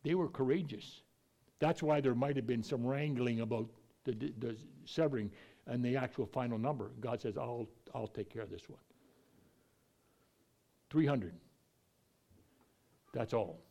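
A man's voice: mid-range voice, unhurried, pauses often, dark tone. A man preaching a conversational Bible teaching, folksy and plainspoken, with an occasional stutter and restarts.

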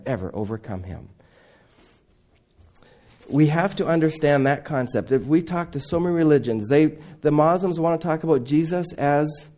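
A middle-aged man who grew up in Indonesia, preaching a sermon with dramatic swings between loud emphasis and near whispers. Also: on the high side.

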